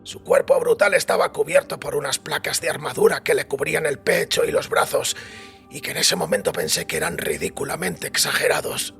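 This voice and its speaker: Guy doing a tired old priest telling his life story, rough gravelly voice, a bit higher pitched, wry, soft music behind. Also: sing-song, fairly quick, full character voice. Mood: wry, reminiscing. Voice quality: raspy, gravelly. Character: weary older priest recounting his wild, drunken youth. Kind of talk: dramatised audiobook acting. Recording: music bed under the voice.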